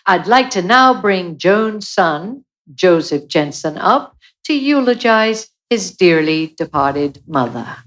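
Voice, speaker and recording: Slow, swooping solemn minister. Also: female voice, lowish voice, animated, a bit bright. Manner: solemn, ceremonial. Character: solemn minister leading a funeral. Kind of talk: radio play acting.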